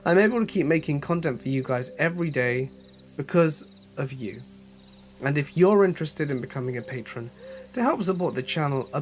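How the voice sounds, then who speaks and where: Male horror narrator with a slight cold, over music. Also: medium pitch. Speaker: host and narrator of a horror story channel. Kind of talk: scripted first-person horror story narration and channel sign-off.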